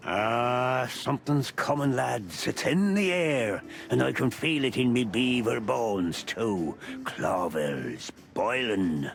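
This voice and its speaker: A man's voice: medium pitch, expressive, slow, vocal fry, few pauses, soft background music. A man playing a jovial old beaver who sells newspapers in a noir adventure game, folksy and avuncular with a chuckling manner. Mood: knowing.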